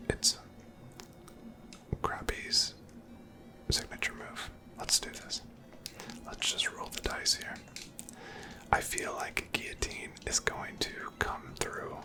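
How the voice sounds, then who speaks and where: Whispering ASMR gamer, fully unvoiced and breathy. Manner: unhurried, musing aloud. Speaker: ASMR game streamer. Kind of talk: whispered ASMR gameplay commentary.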